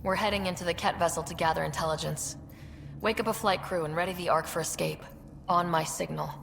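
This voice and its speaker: A woman's voice: fairly low pitch, steady tone, brisk, vocal fry, fairly bright tone, a little hiss or hum. A woman voicing a determined, level-headed young space explorer leader in a video game. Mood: steady.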